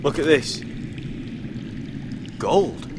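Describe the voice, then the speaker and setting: A man's voice: a little high, animated, extremely slow. An actor playing a bold but wary male sellsword in a video game drama, speaking in a terse, intense way. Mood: low wary. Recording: game audio under loud background noise.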